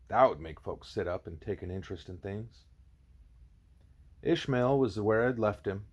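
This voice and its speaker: A man narrates a first-person story as an audiobook reader. His voice is husky and somewhat low, and his intonation moves around a great deal for expressive effect.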